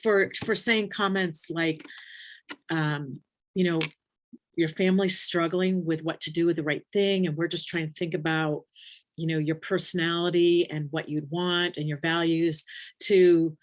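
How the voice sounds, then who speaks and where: A woman teaching an online nursing class in a very low, deep voice. She talks casually and thinks aloud, with plenty of ums, restarts and asides. Her pitch sits near the bottom of the usual range for women. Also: steady tone, measured pace. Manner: warm conversational, many ums, thinks aloud. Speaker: palliative care nurse educator. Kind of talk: casual webinar teaching, reading chat questions.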